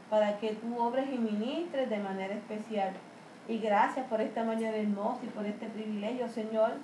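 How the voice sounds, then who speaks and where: A woman's voice: on the high side, dark tone. A woman leading an extemporaneous, devotional church prayer in Spanish, fervently and repeatedly calling on the Lord. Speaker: congregation member leading the opening prayer. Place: church service. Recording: echoey room with faint background noise.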